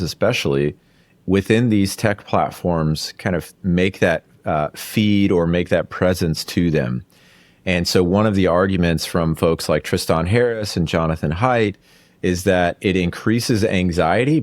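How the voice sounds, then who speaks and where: A man's voice: low-pitched, no room sound. A man hosting an interview podcast, asking long, rambling, friendly questions with frequent restarts. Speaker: podcast host.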